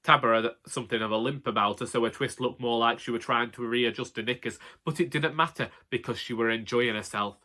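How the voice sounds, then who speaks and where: A man's voice: mid-range voice, fairly quick, few pauses, pushed and bright. A man with a Northern English accent narrating a comic first-person story in a wry, colloquial way. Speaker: audiobook narrator.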